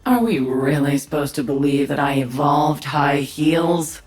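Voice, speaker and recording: A woman voicing a sardonic, commanding alien-hybrid warrior queen in a video game, with a husky voice. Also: low-pitched, measured pace, a little mellow, soft background music. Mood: menacing, sardonic. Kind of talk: video game character voice lines.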